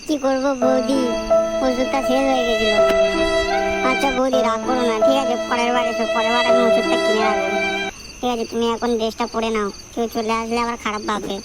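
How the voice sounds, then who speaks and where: A man's voice: very high-pitched, level tone, fairly quick, over loud music, low-quality recording. A man voicing a wheedling, sheepish young Bengali neighbour and secret lover in a comedy cartoon, always coaxing and apologising. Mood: sheepish, apologetic.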